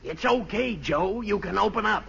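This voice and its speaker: A man's voice: high-pitched, normal speed, creaky. An actor playing a bossy, officious showman with an emphatic barker-like delivery in an old radio comedy. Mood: pompous showmanship. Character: bossy showman guarding a roast like treasure. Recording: dull, slightly noisy vintage radio broadcast.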